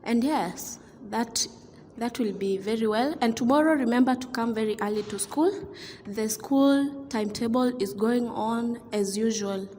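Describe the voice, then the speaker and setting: A woman acting a formal school teacher addressing her students in a radio drama. Her voice is on the high side, ringing with long hall reverb over a noisy background. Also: unhurried, mellow, natural voice. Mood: stern, admonishing. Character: strict but appreciative female school teacher addressing assembled students.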